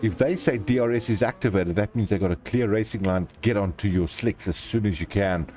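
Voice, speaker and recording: A man calls a live sim race, pitched a touch low. He trades jokes with his chat and reads their comments aloud between updates on positions and pit stops. A faint background hum sits under his voice. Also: a little fast, warm tone. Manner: jokey, chatty, reads viewer comments aloud. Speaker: sim racing commentator and stream host.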